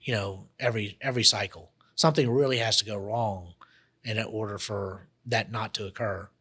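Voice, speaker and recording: A man with a bright, clear tone talks through his business plans on a radio show. His delivery wanders and restarts often, in a relaxed conversational way. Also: lowish voice, normal speed. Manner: rambling, many restarts and fillers. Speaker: guest, automation entrepreneur. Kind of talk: casual radio interview.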